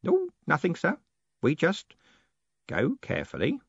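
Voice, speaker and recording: A man voicing a doleful, deferential old postal clerk who speaks in a high, wavering voice and keeps saying sir. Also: wildly swooping pitch, unhurried, vocal fry, breathy edge, no room sound, exaggerated voice. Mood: hushed, evasive. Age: older. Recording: dull lo-fi recording.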